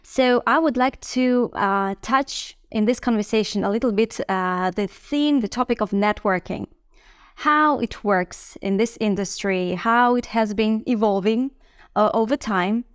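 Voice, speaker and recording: Interview host: woman, clear resonant voice, friendly and encouraging, dry close sound. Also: on the high side, unhurried, no echo. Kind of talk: interview, conversational.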